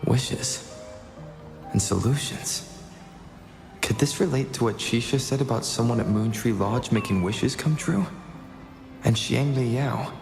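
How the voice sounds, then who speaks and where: Gravelly, musing young hero. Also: male voice, mid-range voice, a little slow, long pauses. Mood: pondering. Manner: musing, reflective. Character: thoughtful, inquisitive young male game hero. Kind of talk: video game character acting. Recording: echoing voice over game music.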